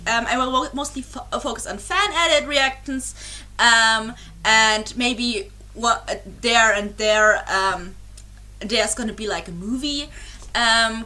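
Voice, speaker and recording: A woman's voice: fairly high pitch, sharp tone. A young woman, chatting casually to her webcam as a reaction YouTuber, rambling and apologetic with many fillers and giggles. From Germany. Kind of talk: casual chat to camera.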